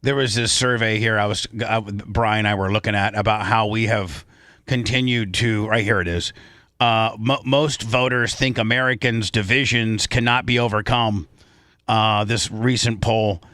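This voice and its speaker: A man's voice: on the low side, steady tone, normal speed, pushed and bright, dry recording, studio quality. A man hosting a talk show in casual radio style, opinionated and repeating his points for emphasis.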